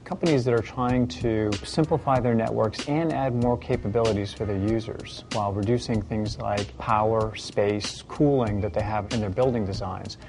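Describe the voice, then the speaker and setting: A man's voice: on the low side, fairly even intonation, normal speed, hardly any pauses. A man speaking as a company spokesman, giving prepared promotional remarks. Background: background music.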